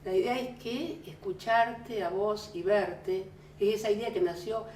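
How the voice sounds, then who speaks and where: A woman's voice: medium pitch, a little slow, a little mellow, slight background noise. A woman with an Argentine accent, interviewing a guest in a chatty conversational way, heard in a room in Rosario. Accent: Argentine Spanish. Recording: echoey room, mic at a distance.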